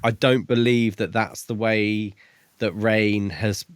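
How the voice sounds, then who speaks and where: A man's voice: on the low side, fairly even intonation, takes it slowly, smooth, dry recording. A man co-hosting a podcast, chatting casually and informally about a book. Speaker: podcast co-host. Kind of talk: casual book discussion chat.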